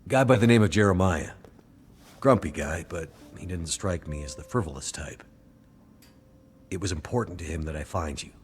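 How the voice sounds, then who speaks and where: A man's voice: deep voice, animated, normal speed, long pauses. A man playing a wary, plain-spoken traveler in a video game cutscene, with an understated delivery. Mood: sober, plain. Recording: faint music behind the voice.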